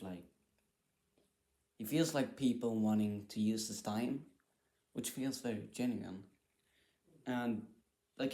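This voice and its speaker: A man's voice: mid-range voice, darkish tone. A man giving casual reaction commentary, thinking aloud in a hesitant, repetitive way. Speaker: reaction video host.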